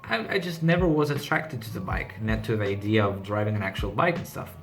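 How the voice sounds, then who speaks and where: A man's voice: on the low side, lively intonation, fairly quick. A man with a Greek accent reading out questions and answering them briefly and matter-of-factly in a casual vlog. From Greece. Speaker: car channel host. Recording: music playing behind the voice. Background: background music.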